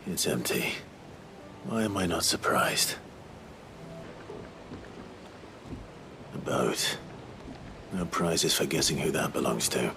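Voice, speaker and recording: An actor playing a weary, resolute young outlaw leader in a dramatic video game, speaking in a measured, grave, understated way. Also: male voice, a bass voice, vocal fry, noisy background, full character voice. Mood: wary.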